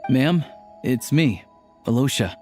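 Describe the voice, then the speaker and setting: A man playing a patient, caring character in a video game, speaking gently and earnestly. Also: medium pitch, lively intonation, slow pace. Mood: gentle, reassuring. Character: patient, caring man who looks after an old woman. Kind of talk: video game voice acting, dialogue and exposition. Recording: background music under the dialogue.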